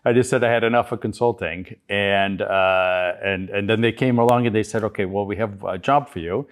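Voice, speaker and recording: A middle-aged American man from Chicago, a guest telling his story in a relaxed interview, with many restarts. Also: on the low side, moderate pace. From United States, Chicago. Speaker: guest entrepreneur. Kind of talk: conversational interview.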